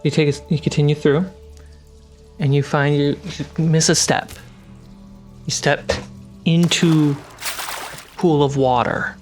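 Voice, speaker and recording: Game master for a fantasy tabletop session; slow, halting descriptive delivery, music and rain underneath. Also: mid-range voice, fairly even intonation. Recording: music and rain sounds behind. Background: music, rain effects.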